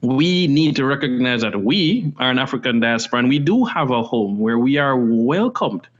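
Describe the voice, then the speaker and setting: A man from Jamaica speaks as a podcast guest, laying out his argument in numbered steps with earnest emphasis. His voice sits at a middling pitch. The recording sounds a little dull and lo-fi. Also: expressive, moderate pace. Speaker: investment firm CEO, guest. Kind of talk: podcast interview.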